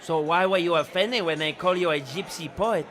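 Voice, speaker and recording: A man's voice: a little high, normal speed, fairly bright tone, lightly acted. A man playing a blunt, familiar admirer of a poet in a radio play, calling out theatrically. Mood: supportive, probing.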